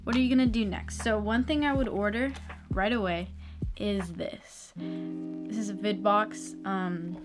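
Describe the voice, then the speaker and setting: A woman's voice: medium pitch, fairly even intonation, unhurried. A young American woman explaining things in a casual, chatty vlog style, recorded indoors in a small room. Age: young adult. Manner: chatty, informal. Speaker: video tutorial presenter. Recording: music playing behind the voice. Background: plucked guitar music.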